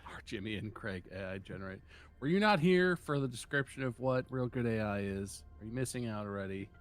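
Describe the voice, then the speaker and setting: A man's voice: fairly low pitch, animated, fairly quick, faint music behind. A man co-hosting a casual chat while gaming, with a wry, teasing manner.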